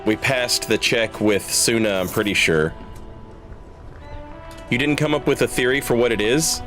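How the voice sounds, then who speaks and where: A man's voice: mid-range voice, moderate pace, fairly bright tone. A man streaming a game with casual commentary, thinking aloud in an informal way. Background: game music.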